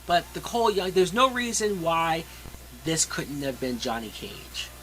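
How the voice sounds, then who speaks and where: Bright-voiced man giving a blunt review. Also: on the high side, measured pace, slight background noise, poor audio. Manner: emphatic, blunt, sweary. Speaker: film reviewer. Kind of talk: casual opinionated movie review.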